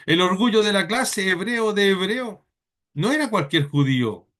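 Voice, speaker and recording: A man from Chile, preaching a sermon in church in an earnest, halting way with many repeated words. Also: sits high, expressive, fairly bright tone.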